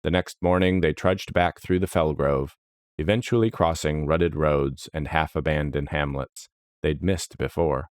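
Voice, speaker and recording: A man narrating a scripted fantasy story in a solemn, dramatic, measured manner. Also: rumbling low, clean tone, dry recording, clean studio sound.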